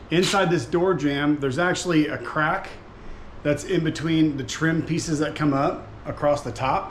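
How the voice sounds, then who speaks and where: A man giving casual, informal hands-on commentary as he talks through an installation job while working. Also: on the high side. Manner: informal, talking through the task as he works. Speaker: DIY vlog host and installer. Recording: echoey room with clear background noise.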